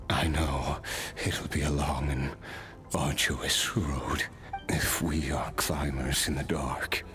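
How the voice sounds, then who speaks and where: An actor playing a weary, scholarly immortal warrior in a video game, solemn and grandiose, speaking in a measured, deliberate way. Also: male voice, a bass voice, a bit bright, exaggerated voice. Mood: solemn acceptance. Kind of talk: dramatic video game acting. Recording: game music behind the voice.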